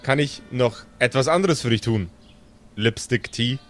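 A man's voice: mid-range voice, unhurried, close to an everyday voice. A man performs an impostor pretending to be an ordinary human man in an audio role-play. He is stiff and robotic, painfully polite, and explains things far too literally and formally. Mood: stiffly polite. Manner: stilted, over-polite, over-literal.

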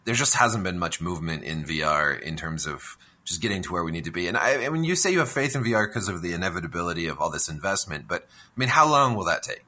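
Man, low-pitched, bright forward tone, casual chat, podcast co-host, musing, tentative, thinking aloud. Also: lively intonation, fast, hardly any pauses. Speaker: podcast co-host discussing video games.

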